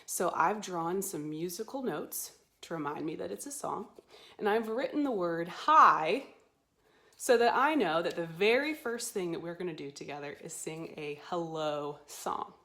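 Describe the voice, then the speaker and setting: A woman presents storytime to young children in a bright voice. She takes her time and speaks in an animated, encouraging way, asking simple questions. The room adds a noticeable echo. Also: fairly low pitch. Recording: roomy, echoey sound.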